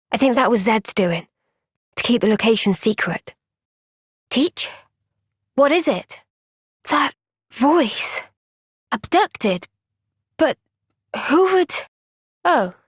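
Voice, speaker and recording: An actress voicing a young woman soldier in a game cutscene, soft-spoken and caring. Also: high voice, no room sound, clean studio sound.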